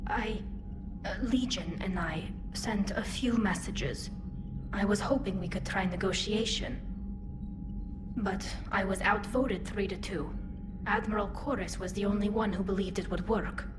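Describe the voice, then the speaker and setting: A woman's voice: medium pitch, a little slow, natural voice. An actress voicing an earnest, hesitant young alien woman, a self-doubting admiral in a video game cutscene. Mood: matter-of-fact, regretful. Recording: roomy echo with clear background noise.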